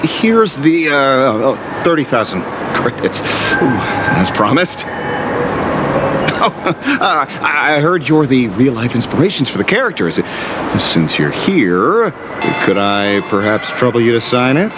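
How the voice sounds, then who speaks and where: An actor playing an easygoing, wry detective in a video game, with theatrical hesitations and a slyly polite manner. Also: male voice, medium pitch, sing-song, measured pace, background noise. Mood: chuckling gratitude. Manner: theatrical, hesitant stammers and chuckles, sly politeness. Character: easygoing, wry male detective, grieving yet vengeful. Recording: music under the voice. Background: game music.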